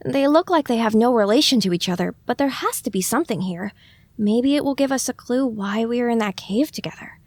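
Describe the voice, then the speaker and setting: A woman's voice: on the high side, expressive, a little fast. Woman as a grumbling little filly, echoing reverb. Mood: earnest, tentative. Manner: exasperated, wry muttering to herself. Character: very small young green filly, grumbling and self-conscious. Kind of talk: audio drama acting.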